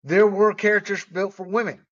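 Emphatic American man, higher-pitched voice, dull recording. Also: steady tone, moderate pace. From United States. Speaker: movie commentator. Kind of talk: opinionated solo commentary. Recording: dull, lo-fi sound.

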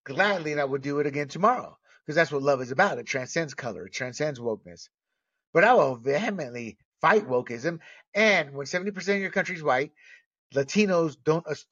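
Emphatic American man, higher-pitched voice, dull recording. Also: fairly bright tone. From United States. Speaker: movie commentator. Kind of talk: opinionated solo commentary. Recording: dull, lo-fi sound.

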